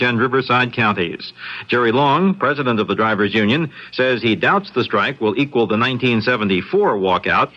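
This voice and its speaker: A man's voice: medium pitch, bright, forceful tone. American newsman reading bulletin, punchy formal delivery, kinda dull old radio sound. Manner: crisp, formal newsreading. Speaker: radio news reporter. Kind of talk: scripted news bulletin.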